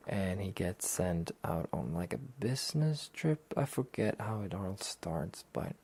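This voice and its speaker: Soft-spoken, gravelly man talking slowly. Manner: hesitant, rambling, low-key. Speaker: host of a weekly anime talk show. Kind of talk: soft-spoken relaxation talk, casual review.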